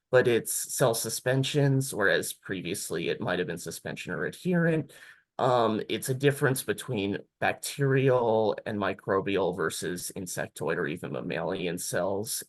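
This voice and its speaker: A man on a career panel, explaining his technical work in a measured way. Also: on the low side. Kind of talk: panel talk.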